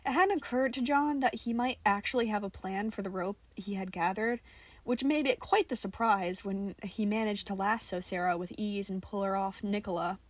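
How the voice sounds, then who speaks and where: A woman's voice: mid-range voice, normal speed, dry recording. A woman narrating a scripted story as an amateur podfic reader.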